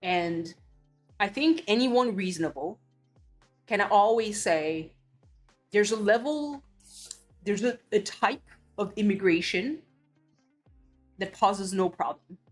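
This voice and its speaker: A woman's voice: medium pitch, expressive, slow, pauses often. Woman streaming, heated blunt rant, swears a lot, faint music behind. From Belgium. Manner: blunt, heated ranting with cursing and restarts. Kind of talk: casual chat.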